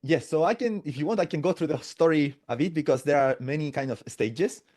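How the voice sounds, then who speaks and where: Brief: male guest, 40s, somewhat high voice, chatty and halting with tag questions. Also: a little mellow. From Latin America. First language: Spanish. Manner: restarts a lot, tags phrases with "no?" and "right?". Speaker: podcast guest. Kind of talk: casual interview storytelling.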